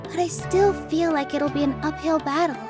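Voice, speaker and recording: A woman voicing a gentle, earnest young female dancer in a game, speaking softly and thoughtfully. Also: high-pitched, fairly even intonation, moderate pace, natural voice. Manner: soft-spoken, earnest and thoughtful. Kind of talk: game voice acting. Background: soft game music.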